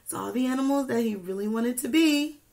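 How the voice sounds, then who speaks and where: A young woman teacher reading a picture book aloud to children, expressively, pausing to ask questions and add asides. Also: a little high, gravelly, a little mellow. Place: small indoor room with classroom wall charts.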